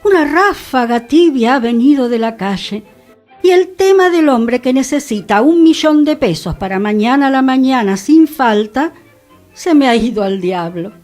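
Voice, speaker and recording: A woman's voice: high voice, a little mellow. A woman with a Buenos Aires accent presenting a radio show and reading literature aloud expressively, over a music bed. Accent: Argentine, Buenos Aires.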